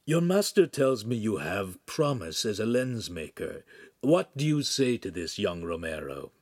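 Effusive storybook Italian nobleman, done by a male narrator, gracious and lordly, highly varied pitch. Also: sing-song, a little slow, breathy edge, dry recording, clean studio sound, full character voice. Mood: courtly, curious. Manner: courtly, effusive, grandly polite. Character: rich, tall, gracious Italian nobleman, pleased and courtly.

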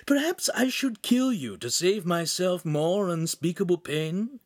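A man acting small holographic imp, theatrical mock-demon, grandiose declaiming, pitched up, very animated, audiobook character. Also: a little slow, dry recording, clean studio sound. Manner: grandiose, florid, dramatic declaiming.

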